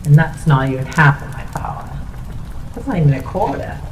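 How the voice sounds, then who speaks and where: A woman playing a playful, boastful, slightly crazy witch hypnotist in an ASMR roleplay, speaking in a slow, coaxing, teasing manner. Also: low-pitched, lively intonation, a little mellow. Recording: noisy recording in echoey room.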